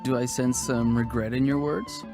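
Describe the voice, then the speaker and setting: Guy doing chill young warrior in a video game, medium pitch, casual and unsure. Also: fairly even intonation, moderate pace, music in the background, close to an everyday voice. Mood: low-key. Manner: casual, hesitant, understated. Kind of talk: scripted video game voice acting.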